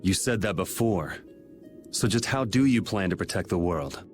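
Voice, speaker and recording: An actor playing a blunt, determined young hero with a casual, direct way of talking in a video game cutscene. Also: male voice, low-pitched, expressive, moderate pace, over music.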